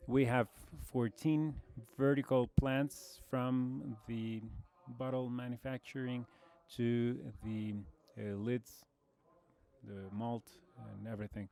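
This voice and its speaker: A man in his forties from Brazil with a Brazilian Portuguese accent, giving a measured conference presentation with frequent fillers. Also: mid-range voice, fairly even intonation, creaky, dark tone. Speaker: conference speaker, logistics executive.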